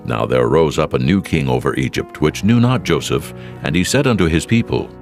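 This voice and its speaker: A man narrating dramatized scripture in a solemn, deliberate manner. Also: a bass voice, lively intonation, creaky, soft background music.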